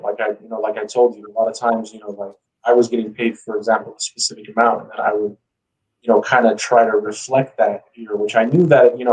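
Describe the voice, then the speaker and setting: A man's voice: fairly low pitch, a little mellow, recorded from a distance, low-quality recording. A man telling his story as a guest in a casual interview, speaking informally with lots of "you know". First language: English.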